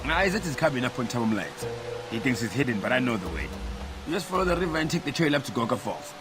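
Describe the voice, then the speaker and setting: A man's voice: medium pitch, big pitch swings, fast, over music, close to an everyday voice. A man playing an ambitious militia officer in a video game, chummy and boastful as he persuades. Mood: scheming, chummy. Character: ambitious, scheming militia officer hungry for power. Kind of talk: video game cutscene acting.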